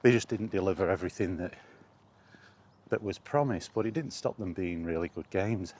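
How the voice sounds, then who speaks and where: A man chatting casually and solo as a podcast host while out walking, in a halting, understated way with pauses and hesitations. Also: low-pitched. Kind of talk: casual rambling chat recorded while out walking. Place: outdoors, walking to and in a park. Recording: steady background noise, outdoor ambience.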